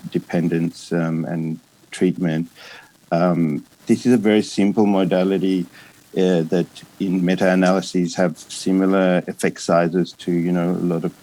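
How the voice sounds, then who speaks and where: Low-voiced, halting Australian man lecturing. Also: a little mellow, rough recording. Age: 50s. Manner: hesitant, halting delivery with frequent pauses and broken-off words. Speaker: psychiatrist and addiction specialist giving a lecture. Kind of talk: academic conference lecture.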